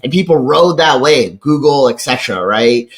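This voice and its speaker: A man's voice: medium pitch, lively intonation, moderate pace. A man in his forties with an American accent talks conversationally as an interview guest, enthusiastic and often checking his points with a tag question. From rural Kentucky, United States. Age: 40s. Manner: enthusiastic, peppers points with "right?". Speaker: podcast guest, venture capitalist and lecturer.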